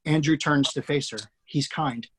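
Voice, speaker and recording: A man reading screenplay action lines aloud in a steady, matter-of-fact way. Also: a little high, moderate pace, a little hiss or hum. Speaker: screenplay reader.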